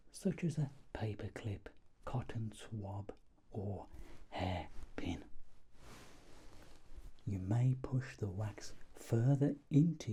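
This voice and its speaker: Slow, whispering man in an ASMR roleplay. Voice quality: soft, breathy whisper mixed with low murmured speech. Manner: gentle, polite, unhurried. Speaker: ASMR performer playing a doctor.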